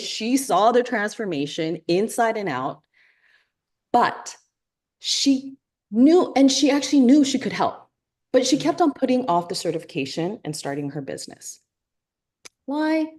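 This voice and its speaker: A woman co-hosting a live webinar, giving a motivational talk and slowly repeating key lines for emphasis. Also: on the low side, a little mellow. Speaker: webinar co-host.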